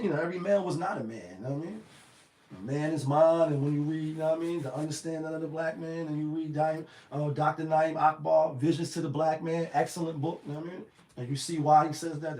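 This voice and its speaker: A man with a slightly raspy voice giving a casual, rambling motivational talk full of slang and "you know what I mean.". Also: a little high, roomy sound. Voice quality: a little raspy. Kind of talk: casual motivational monologue to camera.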